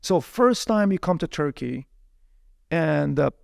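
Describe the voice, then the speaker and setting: Gravelly man, native Chinese speaker. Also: on the high side, measured pace, no room sound, studio quality. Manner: lively, laughs often, many "you know" fillers. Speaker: interview guest. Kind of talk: casual storytelling conversation.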